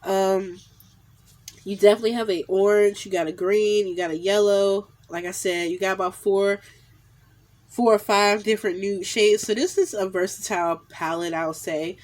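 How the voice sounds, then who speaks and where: A woman's voice: mid-range voice, steady tone, normal speed, a little mellow. Stuffy nasal woman, 30s, chatty makeup haul talk on a phone at arm's length, says definitely a lot. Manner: chatty, lots of fillers and repeated "definitely". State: nasal from a cold. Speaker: beauty vlogger. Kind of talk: casual makeup haul review. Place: small indoor room at home. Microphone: phone camera at arm's length.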